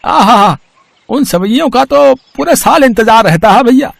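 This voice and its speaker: A man's voice: extremely high pitch, expressive, unhurried, vocal fry, no room sound, full character voice. A man voicing a cheerful, food-loving village farmer in a storybook tale, hearty and friendly.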